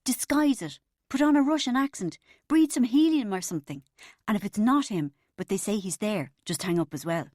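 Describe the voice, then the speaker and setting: A woman's voice: fairly low pitch, a little fast, few pauses, a little mellow, no echo, clean studio sound, close to an everyday voice. A woman voicing a lovelorn, bossy young woman, anguished and urgent, in an audiobook.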